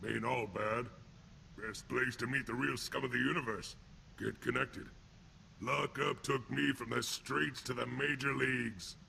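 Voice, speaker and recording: A sneering alien thug from a video game, with a heavily growling, rasping voice and a sharp, hard edge. He talks in blunt, crude street slang like a hardened enforcer fresh out of prison. Faint noise sits behind him. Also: mid-range voice, steady tone, a little slow, full character voice. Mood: gruff boasting. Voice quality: gravelly, rough. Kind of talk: video game character acting.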